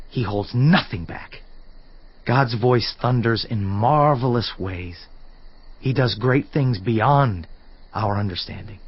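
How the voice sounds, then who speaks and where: A man's voice: medium pitch, husky, dull audio. An actor playing an earnest, fervent man who declaims solemnly and emphatically about God's majesty in a dramatized scripture production. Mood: awestruck. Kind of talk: dramatized scripture reading, oratorical.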